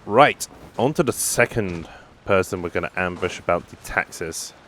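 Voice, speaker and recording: Woman streamer, very deep voice, lively intonation, casual let's play remarks, game music behind. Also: moderate pace, slight background noise.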